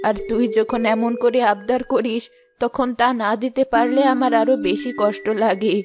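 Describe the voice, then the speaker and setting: A woman voicing a kindly, careworn village grandmother in an animated moral story, affectionate and gently scolding. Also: a little high, normal speed, lightly acted. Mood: worried. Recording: music behind the voice.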